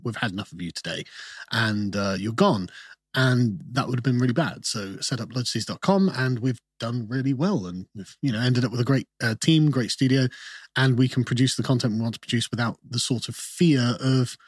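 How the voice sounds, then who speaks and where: Male commentator, husky timbre, measured explanatory delivery, close dry studio sound. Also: medium pitch, no echo. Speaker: guest commentator. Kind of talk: podcast interview.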